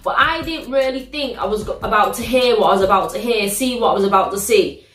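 A young woman with a British accent, hosting a reaction video in a living room, telling stories in an animated, slangy, exclamatory way. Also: mid-range voice, quick pace. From United Kingdom. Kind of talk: casual reaction vlog. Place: living room, sitting on a sofa. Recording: roomy living-room echo.

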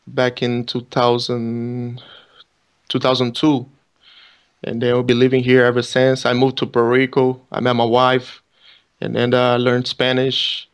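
A man's voice: medium pitch, clear, resonant tone. A man from Brazil with a Brazilian accent, a pastor leading prayer and talking earnestly on a call-in ministry show. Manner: earnest, repeats phrases, preaching tone. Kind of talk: radio ministry talk and prayer.

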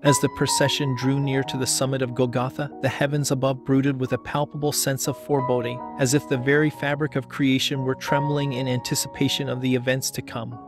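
A man reading a scripted homily as solemn, measured dramatic narration. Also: medium pitch, little pitch movement. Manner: measured, solemn storytelling delivery. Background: background music.

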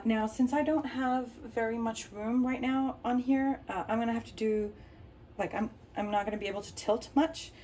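A woman's voice: a little high, a little mellow, a little hiss or hum. A woman whose first language is Arabic, hosting a painting tutorial in a home studio and explaining as she works, with lots of ums and restarts. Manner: rambling, many ums and restarts. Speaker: art tutorial host. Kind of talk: casual explaining while working. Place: home art studio, indoors.

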